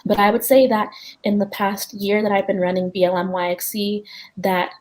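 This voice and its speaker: A woman's voice: medium pitch, flat delivery, moderate pace, darkish tone, low-quality recording. Earnest woman organizer. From United States. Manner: articulate and earnest, with frequent "um". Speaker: community organizer, panel guest. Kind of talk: panel discussion.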